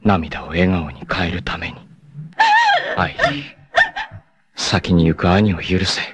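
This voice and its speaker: An actor playing a proud, self-sacrificing long-haired martial artist in a 1980s anime, speaking solemnly and dramatically with fierce shouts in battle. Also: male voice, sits low, steady tone, slow pace, lo-fi sound, over music. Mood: tender farewell.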